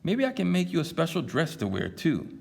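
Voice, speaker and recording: A man reading the lines of a gentle, loving father from a picture book, speaking reassuringly to his daughter. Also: on the high side, huge pitch swings, fairly quick, gravelly, long reverb tail, voice pushed up, full character voice. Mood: tender. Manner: reassuring and tender. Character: loving, gentle dad who sews dresses.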